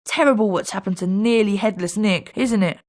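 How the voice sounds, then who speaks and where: A woman's voice: mid-range voice, normal speed, a little mellow, lightly acted. Chatty British schoolboy wizard voiced by a woman. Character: young schoolboy wizard student, chatty and friendly. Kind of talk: scripted video game character lines.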